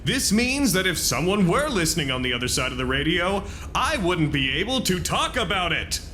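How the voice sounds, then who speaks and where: A man's voice: sits high, very animated, moderate pace, bright, forceful tone, a little hiss or hum, exaggerated voice. A man acting in an audio drama as a wry, theatrical colleague with a dry, precise, wordy manner. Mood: showy, formal. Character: wry, theatrical male colleague, needling but caring.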